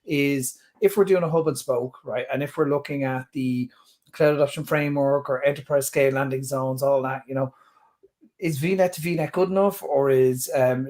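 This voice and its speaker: A man's voice: medium pitch, steady tone, normal speed, darkish tone. A man in his thirties hosting a webinar Q&A from a home office, chatty and enthusiastic, often stumbling over words. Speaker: user group host. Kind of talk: casual webinar Q&A moderating.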